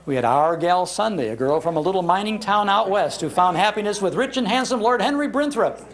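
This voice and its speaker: A man's voice: sits high, animated, a little fast. An older American man telling humorous, nostalgic stories to a live audience in a folksy, emphatic way. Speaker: speaker giving a talk on old-time radio. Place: public library talk before an audience.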